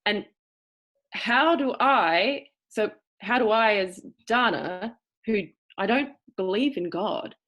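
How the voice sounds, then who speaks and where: A woman with a cultivated, trained-sounding Australian accent, teaching an acting seminar over a video call. She talks thoughtfully, stopping often to find the right word. Her voice sits at a middle pitch for a woman. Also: fairly bright tone. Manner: thoughtful, searching for words, with pauses. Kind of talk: explanatory seminar talk.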